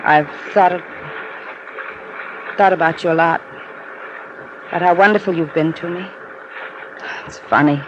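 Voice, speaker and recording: A woman playing an earnest, wistful young woman in love in an old-time radio drama, speaking with halting, dramatic feeling. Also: on the low side, lightly acted. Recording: muffled, very noisy vintage radio recording.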